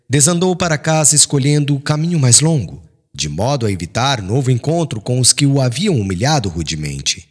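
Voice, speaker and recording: Husky, expressive male audiobook narrator. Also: mid-range voice. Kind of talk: dramatic scripted narration of a literary novel.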